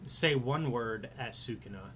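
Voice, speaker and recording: Excitable, profane man on a podcast. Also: medium pitch, unhurried, slight background noise. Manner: excitable, profane, animated swings in loudness. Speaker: podcast co-host. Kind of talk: casual chat.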